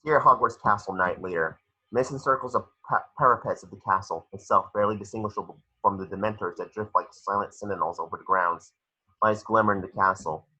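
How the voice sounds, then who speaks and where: A man reading screenplay stage directions as a narrator, with a raspy voice. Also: fairly low pitch, brisk, bright, forceful tone, rough recording. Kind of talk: scripted narration.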